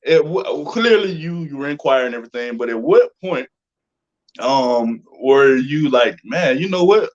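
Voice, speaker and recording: A man's voice: medium pitch, animated, measured pace, dull audio. An American man hosting a casual interview in an informal, slangy way. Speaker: interview host.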